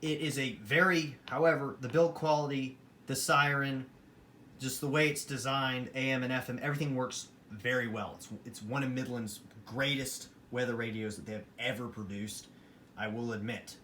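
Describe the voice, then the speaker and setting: A man talks through a casual hobbyist review at a mid pitch, with some noise on the recording. He rambles a little and states his opinions plainly and matter-of-factly. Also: fairly even intonation, moderate pace, bright, forceful tone. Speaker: hobbyist collector presenting weather radios.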